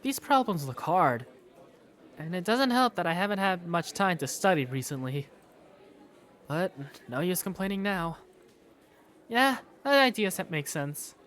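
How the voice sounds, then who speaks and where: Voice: mid-range voice, very animated, measured pace, pauses often, a bit bright. A performer voicing an awkward, easily flustered male student who narrates his school day in a hesitant, wry first-person style. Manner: hesitant, wry inner monologue. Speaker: narrator and main character of a visual novel. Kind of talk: first-person visual novel narration and dialogue.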